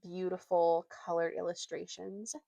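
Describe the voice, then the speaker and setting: A woman reading a children's adventure book aloud as an expressive storyteller. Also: lowish voice, unhurried, vocal fry, no room sound, muffled recording.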